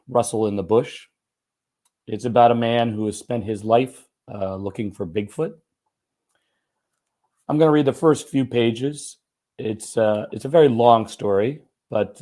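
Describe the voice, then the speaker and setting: A slightly hoarse man reading a first-person short story aloud in an expressive, conversational, folksy way. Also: mid-range voice. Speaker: writer and teacher reading own short story.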